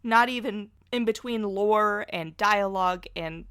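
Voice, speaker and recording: A woman's voice: mid-range voice, a little slow, fairly bright tone, clear, resonant tone, no echo, clean studio sound. A woman chatting casually as a podcast guest, effusive and self-deprecating. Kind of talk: casual pop-culture podcast chat.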